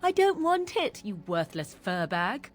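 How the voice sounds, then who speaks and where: A woman's voice: medium pitch, big pitch swings, a little slow, faint music behind. A woman voicing a haughty, scornful young witch in a video game, disdainful and biting in a formal way. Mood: disgusted.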